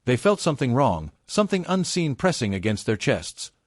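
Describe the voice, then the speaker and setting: A male storyteller delivers a scripted folktale with grave weight. His pitch moves in animated swings across short, measured phrases. The sound is dry and close, with little room around it. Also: no echo. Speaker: storyteller, narrator.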